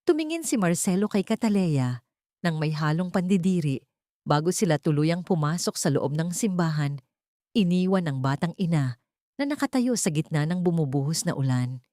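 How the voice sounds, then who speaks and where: A woman's voice: on the low side, lively intonation, moderate pace, warm tone, dry recording, studio quality. A woman with a Filipino accent reading a scripted dramatic audio story as its narrator.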